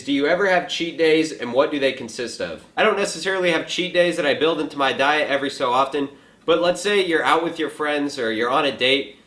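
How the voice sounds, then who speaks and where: A twenty-year-old man hosting a casual question and answer vlog from a home kitchen, relaxed and joking, with lots of filler likes. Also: a little high. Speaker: fitness vlogger answering viewer questions. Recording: echoey room.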